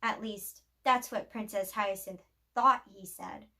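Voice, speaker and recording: A woman reading a children's picture book aloud as a storyteller, with expressive, deliberate phrasing. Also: mid-range voice.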